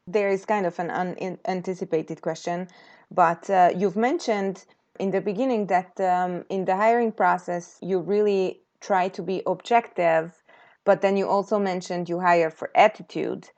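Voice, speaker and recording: A woman's voice: on the low side, fairly even intonation, a little slow, darkish tone. A woman hosting a podcast interview, putting questions to a guest in a conversational style. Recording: dull, lo-fi podcast audio.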